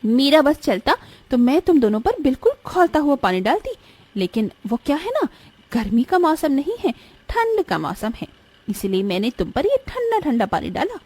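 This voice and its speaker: A woman's voice: high-pitched, sing-song, moderate pace, a little mellow. A woman voicing a jealous, bossy young elder stepsister in a cartoon story, sneering and scolding as she speaks. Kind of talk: cartoon bedtime story voice acting.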